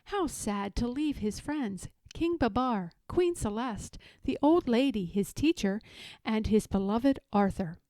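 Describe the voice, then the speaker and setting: Animated high-voiced woman reading a storybook. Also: dry recording. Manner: animated, theatrical. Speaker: storybook reader. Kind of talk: expressive read-aloud of a children's story.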